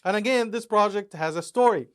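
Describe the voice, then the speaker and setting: A man's voice: high voice, fairly quick, fairly bright tone, clean tone. A man, an author and imam, explaining his Quran dictionary and how it was made, step by step with examples. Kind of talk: explanatory talk about a book.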